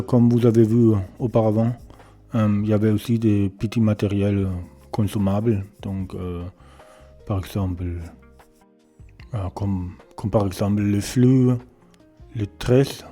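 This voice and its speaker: A German man speaking French with a German accent, hesitantly introducing himself and showing his small home repair workshop, often pausing to search for words. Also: fairly low pitch, fairly even intonation, warm tone, soft background music. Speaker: electronics repair beginner presenting his channel. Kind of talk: casual self-introduction and workshop tour.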